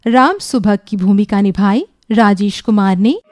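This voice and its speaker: A woman's voice: mid-range voice, lively intonation, unhurried, a little mellow, faint music behind. A woman radio announcer reading a scripted presentation in formal, literary diction.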